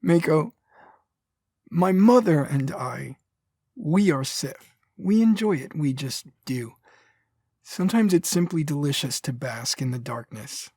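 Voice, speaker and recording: Man as a husky, courteous Sith lord. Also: on the high side, animated, no room sound, clean studio sound, exaggerated voice. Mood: amused, relishing. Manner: formal, measured, gently reassuring. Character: poised, courteous Sith noble, indulgently fond of darkness. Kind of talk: audio drama acting.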